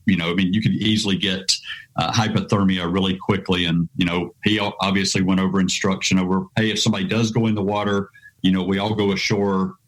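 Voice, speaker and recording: A man's voice: low-pitched, a bit bright, poor audio. An American man, an adventure travel writer, being interviewed on a podcast, rambling with lots of "you know" fillers. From United States.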